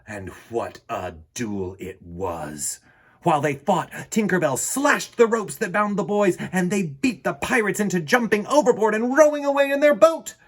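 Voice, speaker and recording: A man hosting a children's storytime, reading and talking in a theatrical, expressive way with dramatic pauses. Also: high voice. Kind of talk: animated storybook narration for children.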